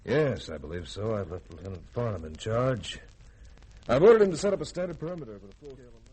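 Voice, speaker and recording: Husky, animated spaceship commander. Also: male voice, on the low side, quick pace, poor audio. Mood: intent. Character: bold, curious spaceship commander. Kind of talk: 1950s radio drama acting.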